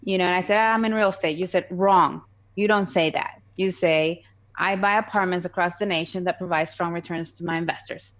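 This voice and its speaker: A woman in her thirties speaks English with a Colombian accent, her first language being Spanish. Her voice sits low for a woman and she talks earnestly, stopping and restarting often. The sound is dull and lo-fi. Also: steady tone, fairly quick. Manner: earnest, many fillers and restarts. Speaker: podcast guest. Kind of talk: casual interview.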